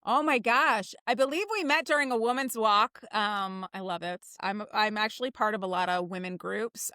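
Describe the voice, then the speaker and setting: Woman podcast guest, American accent, higher forceful voice in a dry recording, effusive, rambling, casual. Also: no room sound. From New Jersey, United States. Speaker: podcast guest, theater writer and director.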